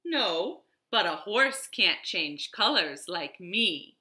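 A woman's voice: lowish voice, very animated, slow, pushed and bright, full character voice. A woman voicing a proud chameleon who boasts about changing colors. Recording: roomy echo.

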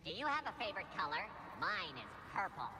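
A nasal, high-pitched robot soldier, naive and fretful, his filtered voice swinging up and down. It is game dialogue with long reverb around it. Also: wildly swooping pitch, a little fast, noisy background, exaggerated voice. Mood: deadpan. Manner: naive, literal-minded, querulous. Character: hapless, anxious, chatty battle droid foot soldier. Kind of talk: video game character dialogue.

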